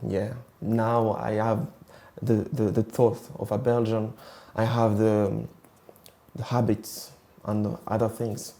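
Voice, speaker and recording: Gravelly young man, halting speech. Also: fairly low pitch, mellow. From Rwanda. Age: 20s. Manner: hesitant, searching for words. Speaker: interviewee. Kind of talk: personal interview, switching languages.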